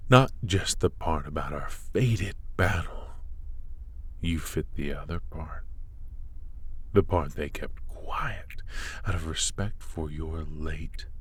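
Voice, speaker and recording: A man playing a suave, mocking dark warlord in a fantasy ASMR roleplay, speaking theatrically and often slipping into whispers. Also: a bass voice, slight background noise, full character voice. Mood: sly, taunting. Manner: theatrical, silky, taunting, often dropping into whispers. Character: dark male warlord villain, suave, mocking, scheming tempter. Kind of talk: ASMR roleplay monologue to the listener.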